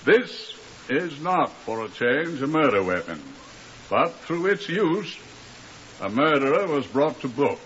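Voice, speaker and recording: An actor playing an urbane police museum curator with a British accent, telling a mystery formally and deliberately in 1950s radio style. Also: male voice, fairly high pitch, sing-song, fairly bright tone. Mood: urbane, wry. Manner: formal, deliberate, with dramatic pauses. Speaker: presenter of the drama. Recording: muffled old radio recording with hiss.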